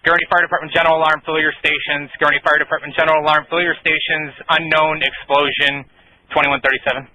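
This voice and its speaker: A man's voice: on the high side, level tone, fast, very noisy. A man working as an emergency dispatcher, giving urgent dispatches over a two-way radio. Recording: emergency scanner radio audio.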